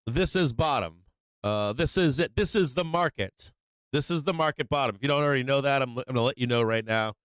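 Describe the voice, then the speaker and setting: A man's voice: medium pitch, a bit bright, clean tone, no echo. A man hosting a live stock market stream, casual and slangy, rambling with many fillers and restarts, saying he is losing his voice.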